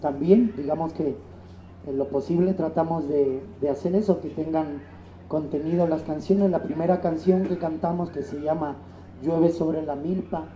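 Middle-aged man with very mellow voice. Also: a little high, fairly even intonation, normal speed. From Mexico, born in Chile. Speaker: musician being interviewed. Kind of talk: earnest interview answers. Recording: dull, echoey room, faint noise.

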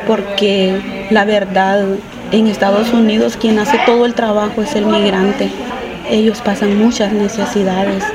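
A woman's voice: medium pitch, flat delivery, low-quality recording. A woman in her forties from Honduras, gives an earnest, pleading street interview as a migrant mother searching for her daughter, stumbling over her words at times. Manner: earnest, pleading, halting restarts. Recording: loud crowd noise outdoors.

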